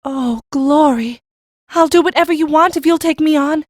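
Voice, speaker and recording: Actress for young orphaned farm girl, audiobook drama. High voice, plain-spoken, earnest, hungry for work. Dry studio sound. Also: normal speed, no echo, lightly acted. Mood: hopeful, eager. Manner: rustic, frank, earnest. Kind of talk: full-cast audiobook acting.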